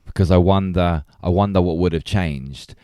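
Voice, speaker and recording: A man's voice: low-pitched, moderate pace, mellow, dry recording, clean studio sound. A man hosting a casual film discussion podcast, talking reflectively and circling back to rephrase his points. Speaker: podcast host.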